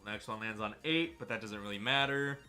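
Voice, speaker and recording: A man streaming a game, chatty and excitable, sounding a bit stuffy from a cold. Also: on the low side, fairly quick, faint music behind. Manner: chatty, excitable over wins. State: stuffy, slightly sick. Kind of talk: gameplay commentary.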